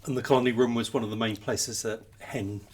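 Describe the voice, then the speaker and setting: A man's voice: mid-range voice, fairly even intonation, a little fast, a little mellow, a little hiss or hum. A man co-hosting an interview, setting out considered comments before asking his question. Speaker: podcast interviewer.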